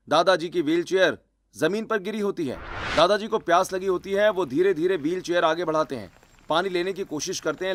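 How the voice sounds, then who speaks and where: A man's voice: a little high, a bit bright, slight background noise. A man narrating a scripted cartoon story.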